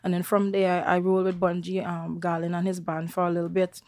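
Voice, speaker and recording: A young Trinidadian woman from the countryside who is a singer, talking relaxed and chatty as an interview guest, with many fillers and restarts. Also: fairly low pitch, brisk, no room sound. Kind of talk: casual interview chat.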